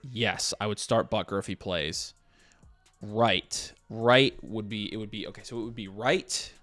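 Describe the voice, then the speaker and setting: A man with a fairly low voice and an American accent hosts a casual live question-and-answer stream. He reads questions out, thinks aloud and gives short, conversational picks. Faint music plays behind him. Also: fairly bright tone, clean tone. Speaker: fantasy football livestream host.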